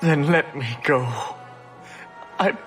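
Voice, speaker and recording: An actor playing a cowardly, terrified slaver in a video game, pleading haltingly in a breathy voice. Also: male voice, a little high, fairly bright tone, faint music behind. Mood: terrified, begging. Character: cowardly, terrified male slaver begging for life.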